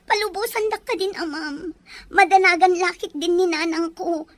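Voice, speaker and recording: A woman's voice: very high voice, fairly even intonation, normal speed, no echo. A woman playing a frightened, submissive young housemaid pleading in an audio drama.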